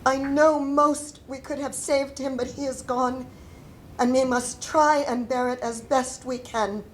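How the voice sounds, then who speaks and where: Woman acting as older grieving Civil War mother, high voice, slow solemn letter reading, mournful, faint rumbling noise. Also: steady tone, low-quality recording. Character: grieving, devout Civil War mother writing to her child. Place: indoor stage before a projection screen.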